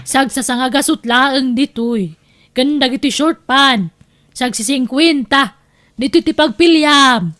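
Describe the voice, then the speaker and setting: A woman's voice: high voice, slow pace, a bit bright. A woman playing a breezy, bossy, thrifty middle-aged aunt in an Ilocano audio drama, with an Ilocano accent.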